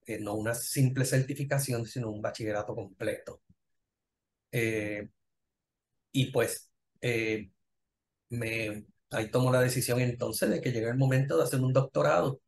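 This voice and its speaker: A man's voice: mid-range voice, fairly even intonation, normal speed, gravelly, pauses often. A middle-aged man with a Puerto Rican accent, a professor speaking conversationally as an interview guest about his own working life. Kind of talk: conversational personal career account.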